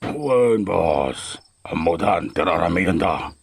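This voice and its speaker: A man playing a gruff foreman in a drug gang in an Ilocano audio crime drama. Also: deep voice, expressive, slow pace, no room sound, studio quality, exaggerated voice. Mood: obedient. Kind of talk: Ilocano radio-style crime drama acting.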